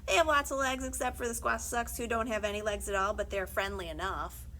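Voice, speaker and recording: A woman voicing an excitable, dramatic schoolboy brother from a storybook, with loud, eager, emphatic delivery. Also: mid-range voice, fast, a little hiss or hum, natural voice. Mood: enthusiastic.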